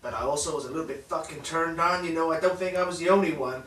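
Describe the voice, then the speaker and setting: A man playing a profane, bullying spoiled son of a crime boss, in a dark comic drama. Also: a little high, fast talker, slight background noise, lightly acted. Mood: low, confiding. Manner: profane, aggressive, ranting. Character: foul-mouthed bullying spoiled heir of a crime boss father. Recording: roomy echo, distant microphone.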